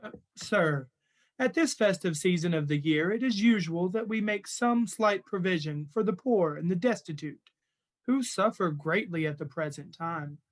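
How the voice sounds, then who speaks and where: A man plays a polite charity collector with a high voice who hesitates before each request. He stays courteous and careful in this radio drama. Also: lively intonation, moderate pace. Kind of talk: radio play acting.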